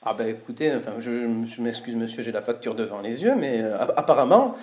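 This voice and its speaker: A man's voice: mid-range voice, lively intonation, brisk, very noisy, voice pushed down, lightly acted. A man playing a fussy, stammering telecom billing adviser in a prank phone call, formally polite and apologetic yet insistent. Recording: roomy, echoey room sound.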